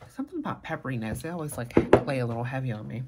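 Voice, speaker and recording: A soft-spoken woman chatting casually as a vlogger, murmuring her thoughts quietly as she talks. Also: extremely low pitch, sing-song, rapid-fire, heavy background noise. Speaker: fragrance vlogger. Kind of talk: casual chat.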